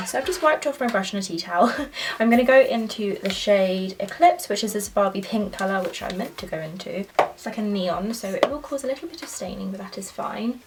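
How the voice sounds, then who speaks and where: A woman's voice: medium pitch, far from the mic, noisy background. Chatty woman, slightly off-mic. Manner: chatty and enthusiastic, lots of "like" and "so". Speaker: beauty vlogger. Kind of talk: casual makeup tutorial narration.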